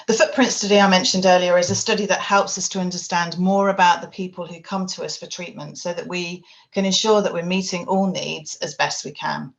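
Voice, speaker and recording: A woman delivers a webinar presentation in a low, even voice that barely rises or falls. Her tone has a gravelly, fry-edged texture, and she speaks in a steady, measured way, as if working through prepared remarks. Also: poor audio. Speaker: charity chief executive presenting.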